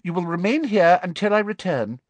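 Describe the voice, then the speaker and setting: A woman's voice: fairly low pitch, expressive, brisk, darkish tone, no echo, voice pushed up. A woman voicing a harsh, power-hungry female official who speaks sharply with a false sweetness. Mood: harsh demanding.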